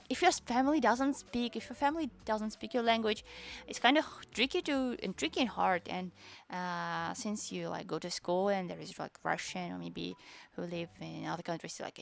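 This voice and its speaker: A young woman whose first language is Tatar, chatting casually on a stream, halting and searching for words, with many fillers. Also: medium pitch, gravelly, fairly bright tone. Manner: switches between Japanese and English. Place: lives in Japan.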